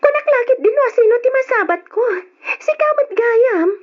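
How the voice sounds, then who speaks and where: A woman's voice: very high voice, animated, normal speed. A woman playing a cheerful, chatty young graduate with an eager, giggly manner in an old Ilocano radio drama. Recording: dull lo-fi old radio recording.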